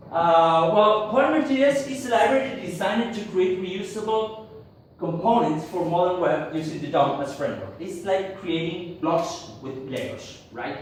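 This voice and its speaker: A man from Argentina gives a tech talk to a conference audience in English, his second language, halting and full of fillers. His voice sits slightly high for a man. It is picked up from afar in a strongly reverberant hall with faint background noise. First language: Spanish. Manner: halting, many fillers and restarts. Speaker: conference speaker. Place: conference room. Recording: echoey hall, speaker far from mic.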